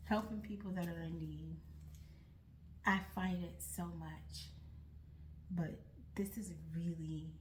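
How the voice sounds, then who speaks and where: A woman's voice: lowish voice, steady tone, slow, pauses often, slight background noise, low-quality recording. A young American woman speaking earnestly and reflectively to her viewers, often restarting her sentences. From United States. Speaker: vlogger starting a nonprofit. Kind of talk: earnest personal talk to camera.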